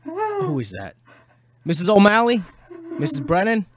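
An actress playing a dutiful, urgent police officer in an audio play. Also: female voice, mid-range voice, wildly swooping pitch, measured pace, crowd noise. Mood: wary, urgent. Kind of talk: audio drama acting.

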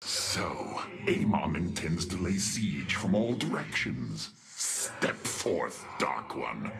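A man voicing a cruel, arrogant alien warlord in a video game, gravelly, imperious and full of contempt. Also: on the low side, exaggerated voice. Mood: menacing. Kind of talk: theatrical video game voice acting.

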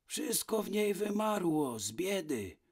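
Guy doing an old beggar from a fairy tale, high voice, calling out all plaintive. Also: very animated, takes it slowly, a little mellow, slightly rough, exaggerated voice. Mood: reproachful, plaintive. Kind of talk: fairy-tale storybook character voice.

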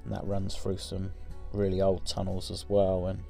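A man's voice: sits low, monotone, normal speed, vocal fry, dark tone, faint music behind. A man in his forties giving a casual, rambling voice-over for his driving footage, with many ums and restarts. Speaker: vlogger.

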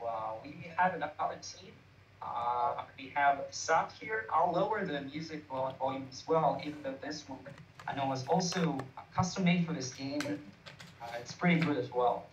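A man's voice: on the high side, slight background noise. Man hosting online, roomy and distant. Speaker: host of a game jam showcase. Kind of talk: casual live presenting with questions to guests. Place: online video call. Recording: roomy, distant, band-limited call over music.

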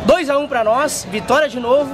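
A young man, an excited football fan speaking casually in a raised voice. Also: very high voice, brisk. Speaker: football fan being interviewed. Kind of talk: casual street interview. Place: outdoors on a busy street. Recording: loud street noise and crowd. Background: crowd and vehicles.

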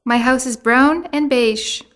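A woman's voice: high-pitched, slow pace, a bit bright. Woman acting a helpful friend on the phone. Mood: helpful, friendly. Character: helpful female friend giving directions by phone. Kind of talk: textbook dialogue acting.

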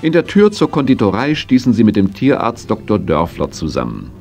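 A man's voice: lowish voice, huge pitch swings, a little fast, gravelly, darkish tone, soft background music, exaggerated voice. A man narrating a children's radio play as a storyteller, in standard German. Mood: measured narration.

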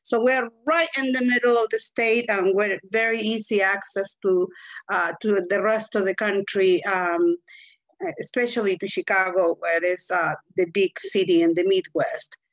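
A woman's voice: medium pitch, steady tone, moderate pace, no echo, rough recording. An older woman from Chile, a university admissions director, introducing herself in a webinar in a chatty way with many fillers. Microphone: video call.

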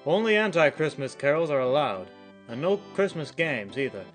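A solemn old-time radio host, played by a man, with a high voice and a knowing, declamatory delivery. It is golden-age broadcast parody with faint music underneath. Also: big pitch swings, normal speed. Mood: grave, earnest.

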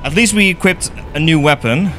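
A man's voice: on the high side, normal speed, music in the background. A young man from the Netherlands with a Dutch accent, streaming a game live, reading chat and swearing freely as he plays. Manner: excitable, swears freely, reads chat aloud. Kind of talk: live gameplay commentary, chatting with viewers. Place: gaming room indoors.

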